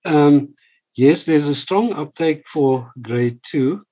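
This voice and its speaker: Brief: expert, mellow tone, recalling facts for a panel. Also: male voice, a little high, takes it slowly, breathy edge. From South Africa.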